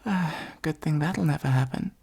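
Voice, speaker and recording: A woman playing a possessive supervillain who is secretly fond of a hero, in an intimate ASMR roleplay, speaking softly and breathily with an earnest, gently wry delivery. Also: low-pitched, normal speed, exaggerated voice. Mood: wry, dismissive. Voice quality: soft, husky, breathy, often near whisper.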